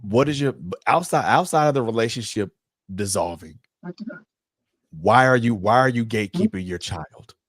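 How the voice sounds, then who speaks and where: A man is a guest on a discussion panel, with a medium-pitched voice and a dry sound. He argues emphatically in a preacherly way, circling back and repeating phrases for weight. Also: animated, moderate pace, dry recording.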